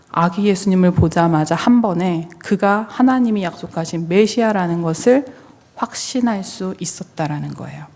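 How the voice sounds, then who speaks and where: A woman's voice: mid-range voice, mellow. A woman pastor preaching a sermon, explaining and addressing listeners directly with questions, her voice somewhat strained from recovering. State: voice strained, limited to low register while recovering. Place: church sanctuary. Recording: long reverberant hall sound.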